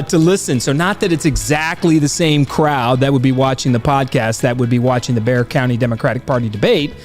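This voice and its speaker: Man, podcast host, stuffy nose, high voice, casual rambling commentary, faint music underneath. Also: long reverb tail. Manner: chatty, rambling, laughs while talking.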